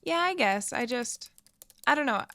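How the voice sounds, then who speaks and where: A woman's voice: high voice, lively intonation, unhurried, sharp tone, dry recording, full character voice. A woman playing a wistful, uneasy young woman who lives off-grid, speaking tentatively and thoughtfully in an audio drama. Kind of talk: naturalistic audio drama dialogue.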